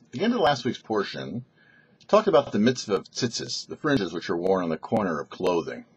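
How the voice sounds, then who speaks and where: Husky, expressive rabbi man. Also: medium pitch, dull audio. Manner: measured, explanatory, rhetorical questions. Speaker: rabbi, show host. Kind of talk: short religious teaching to camera.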